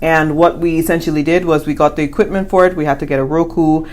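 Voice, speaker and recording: Young woman with a low voice, animated and playful, chatting about saving money with her husband. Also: fast talker. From born in the US, family from the Caribbean. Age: young adult. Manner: animated, playful, talks with emphasis. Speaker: co-host of a personal finance channel. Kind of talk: casual chat.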